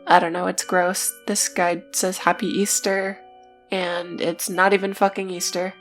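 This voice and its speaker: A woman's voice: on the low side, flat delivery, measured pace. A woman narrating a scripted video essay in a matter-of-fact way, with casual, dryly irreverent asides. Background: music.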